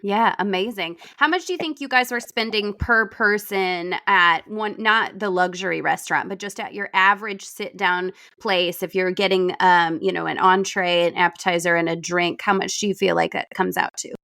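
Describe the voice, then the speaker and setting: Woman podcast co-host, middle pitch, casual and inquisitive. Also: steady tone, moderate pace, vocal fry, few pauses, sharp tone. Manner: curious, asks practical questions. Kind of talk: casual interview chat.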